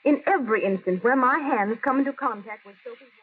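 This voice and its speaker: A woman's voice: a little high, quick pace, husky. A woman reading out a testimonial letter in the manner of a 1930s radio advertisement. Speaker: listener testimonial for sponsor. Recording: tinny, telephone-like vintage radio broadcast.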